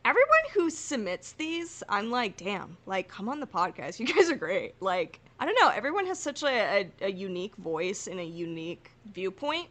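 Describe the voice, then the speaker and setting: A woman with a bright, forward voice at middle pitch co-hosts a podcast. Her chat is casual, slangy and laid-back, with a jokey streak. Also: sing-song, normal speed, few pauses. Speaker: podcast co-host.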